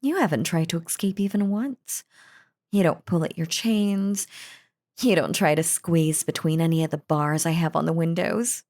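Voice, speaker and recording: A woman's voice: on the low side, normal speed, darkish tone, close to an everyday voice. A woman playing a possessive, lovestruck yandere wife in an intimate audio roleplay, talking in a doting, gushing, cheerfully unhinged way. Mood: doting, approving. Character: lovestruck possessive yandere wife, sweetly menacing crazy stalker. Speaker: voice actor.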